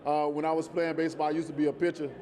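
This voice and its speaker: A man's voice: a little high, flat delivery, rapid-fire, warm tone. A man, an athlete talking casually off the cuff in a joking, self-deprecating way. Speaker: athlete being interviewed. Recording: crowd noise behind the voice.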